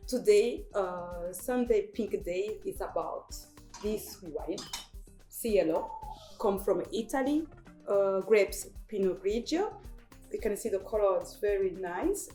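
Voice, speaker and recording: A woman's voice: medium pitch, mellow. Rwandan woman in an echoey room. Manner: simple, non-native phrasing with pauses. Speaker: wine specialist and co-owner. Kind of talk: casual explaining interview. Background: music playing.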